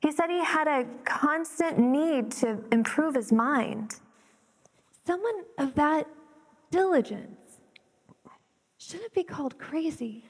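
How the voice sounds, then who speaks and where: An actress playing a proud, protective sister-in-law, speaking with emphasis in a theatrical stage monologue. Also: female voice, sits high, expressive, slow, pauses often, exaggerated voice. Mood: proud, defensive. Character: proud sister-in-law defending a misunderstood artist. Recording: reverberant hall, stage performance.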